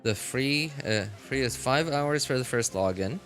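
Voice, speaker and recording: A man's voice: medium pitch, animated, unhurried, fairly bright tone. Casual male streamer, music playing behind. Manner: rambling, repeats phrases, slangy. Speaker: game live streamer. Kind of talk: casual livestream chat. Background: background music.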